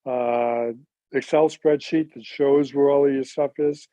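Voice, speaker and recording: Mellow man on muffled call audio. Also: medium pitch, steady tone, a little slow. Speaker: audience member asking a question. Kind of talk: casual question.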